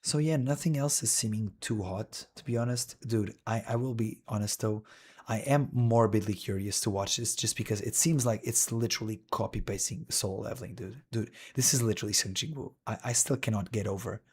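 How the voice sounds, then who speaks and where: A man's voice: lowish voice, a little fast, a little mellow, husky. A male streamer talking casually and wryly while browsing a list, rambling with frequent "dude" and "man".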